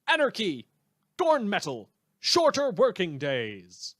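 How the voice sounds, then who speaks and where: A man's voice: high-pitched, wildly swooping pitch, slow pace, a bit bright, no room sound, studio quality, voice pushed up, exaggerated voice. A man playing a villainous, anarchic radio host who tells stories in a theatrical, dramatic scripted monologue. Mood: gleeful, anarchic. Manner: theatrical, storytelling with dramatic emphasis.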